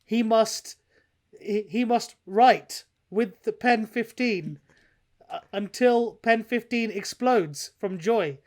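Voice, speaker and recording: A British man hosting a casual podcast, chatting in an animated, sweary, bantering way. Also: high voice, slow. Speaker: podcast host. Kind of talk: casual chat.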